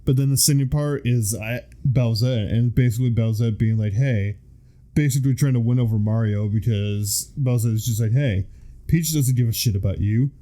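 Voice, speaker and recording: A man's voice: mid-range voice, normal speed, warm tone. A man in his thirties, a podcast co-host, chatting casually and rambling with lots of fillers. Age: 30s. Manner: rambling, filler-heavy storytelling. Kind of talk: casual chat.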